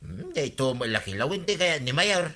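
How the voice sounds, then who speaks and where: A man's voice: fairly high pitch, sing-song, fairly quick, sharp tone, exaggerated voice. A man with an Ilocano Filipino accent playing a matter-of-fact villager in a radio comedy.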